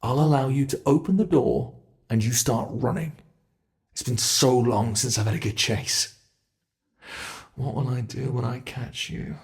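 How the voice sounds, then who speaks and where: A man performs a centuries-old monster in an ASMR roleplay. It is a cruel, playful predator that taunts its prey in a gravelly voice. He speaks slowly and deliberately, often sinking into close, menacing whispers. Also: medium pitch, darkish tone. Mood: teasing.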